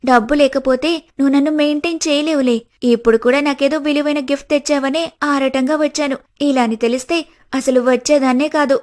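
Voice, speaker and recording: Lady voicing a coy money-minded young girlfriend in a cartoon story, pretty high voice. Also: steady tone, a little fast, darkish tone, lightly acted. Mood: scornful. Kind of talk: scripted cartoon story dialogue.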